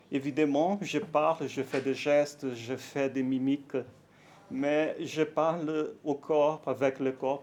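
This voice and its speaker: A Brazilian man with a Portuguese accent giving a conference talk in French, halting and careful, with frequent fillers and self-corrections. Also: fairly high pitch. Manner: nervous, warm, laughs lightly. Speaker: academic speaker at a conference.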